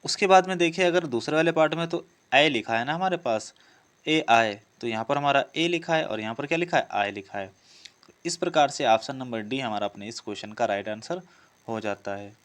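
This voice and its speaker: Clear-voiced man teaching. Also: mid-range voice, fairly quick, a bit bright. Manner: repeats each question before giving the answer. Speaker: teacher presenting exam practice questions. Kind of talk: instructional lesson, working through quiz questions.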